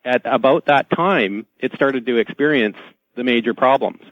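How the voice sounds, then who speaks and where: A man as a radio guest, explaining things haltingly and often repeating words. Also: mid-range voice, no echo. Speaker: talk show guest. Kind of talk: explanatory radio interview talk. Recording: dull, lo-fi dry radio feed.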